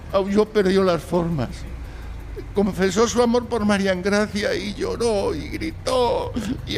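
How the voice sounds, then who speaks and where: A man's voice: high voice, gravelly, a little hiss or hum, exaggerated voice. An actor playing a timid, anguished young man in a live audio drama, speaking with hesitant, sighing delivery. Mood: tearful, anguished. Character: timid, anguished young male client telling his misfortune.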